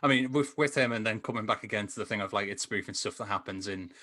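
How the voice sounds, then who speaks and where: Man, bright sharp tone, fairly low pitch, casual rambling talk, enthusiastic. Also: fast. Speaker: podcast panelist reviewing films. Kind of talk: casual film discussion chat. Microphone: remote call microphone.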